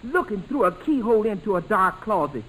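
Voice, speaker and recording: A woman's voice: medium pitch, sing-song, fairly quick, slightly rough, rough recording. An actress playing a nosy, scolding woman of the household in a 1940s radio comedy. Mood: scolding, conspiring.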